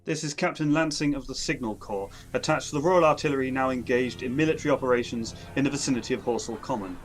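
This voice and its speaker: A man's voice: mid-range voice, quick pace, natural voice. A man playing a confident army signal captain reporting live from the field, in a 1930s-style radio drama. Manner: assured, then rising to alarm as he reports from the front.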